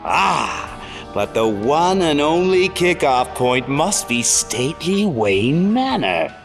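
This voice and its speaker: Crazy gloating clown supervillain for an audio drama, super animated, mocking, music behind him. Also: male voice, fairly high pitch, takes it slowly, vocal fry, heavily acted. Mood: mock sweet. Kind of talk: audio drama villain acting.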